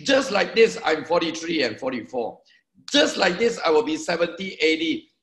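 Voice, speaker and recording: A man in his forties talks to a seminar crowd, repeating his key words for emphasis as he draws points on a board. His voice sits a little high for a man. The sound is echoey, as in a meeting room. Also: lively intonation, normal speed, fairly bright tone, slight background noise. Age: 40s. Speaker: network marketing speaker. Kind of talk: motivational seminar talk to a live audience.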